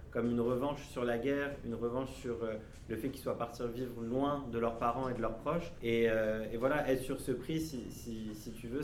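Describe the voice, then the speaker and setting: A man in his thirties from France speaks at a middle pitch, answering children with gentle, halting phrases and frequent restarts. His voice rings in an echoey room. A faint hiss sits underneath. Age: 30s. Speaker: author interviewed by schoolchildren. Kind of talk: casual interview answers.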